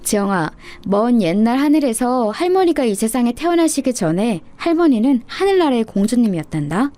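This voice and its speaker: A woman voicing a gentle, patient mother who explains things to her child as a fairy tale. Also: fairly high pitch, big pitch swings, moderate pace, faint music behind. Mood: tender. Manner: tender, explanatory storytelling to a child.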